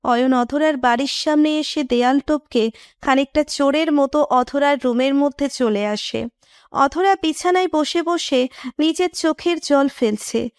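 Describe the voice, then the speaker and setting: A woman's voice: high-pitched, a little slow, no room sound, clean studio sound. A woman reading scripted narration of a romantic story.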